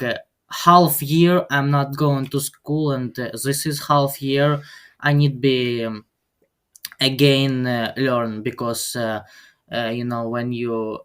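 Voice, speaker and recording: A teenage male interview guest with a Ukrainian accent, raised speaking Russian and Ukrainian. His voice is clear and smooth at a middling pitch. He talks slowly and haltingly, searching for words in broken English. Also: a bit bright. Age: teens. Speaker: guest, high school soccer player. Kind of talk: interview answers.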